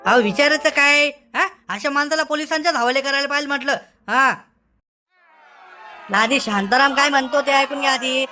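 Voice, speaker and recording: Woman as a bossy high-voiced village council leader. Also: moderate pace, sharp tone, soft background music. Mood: commanding. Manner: insistent, commanding. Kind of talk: cartoon comedy dialogue.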